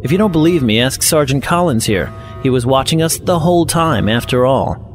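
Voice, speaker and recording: A man's voice: medium pitch, lively intonation, a little fast, close to an everyday voice. A man playing a composed, defensive professor in a science fiction audio drama, speaking formally and explaining things articulately. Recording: loud music behind the voice.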